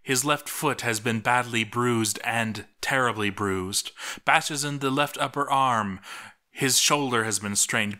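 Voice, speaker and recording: A man narrating a scripted story in a theatrical, measured way, lingering on his phrases. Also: medium pitch, sharp tone.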